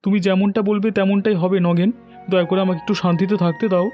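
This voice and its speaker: A man's voice: sits high, little pitch movement, fast talker, darkish tone, natural voice. A man voicing a meek, resigned poor villager in a cartoon, mild and plaintive in manner. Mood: pleading. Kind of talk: cartoon dialogue. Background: music.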